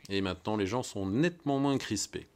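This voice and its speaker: Man, American, low voice, excited live launch commentary, hesitant. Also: fairly quick. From United States. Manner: enthusiastic, conversational, many hesitations.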